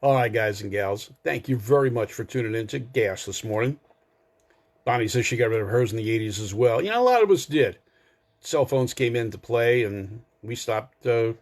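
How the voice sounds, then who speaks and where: A middle-aged or older American man hosting a car enthusiast show, chatting casually and directly to his listeners in a folksy, conversational way. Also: medium pitch. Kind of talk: casual live show chat with trivia.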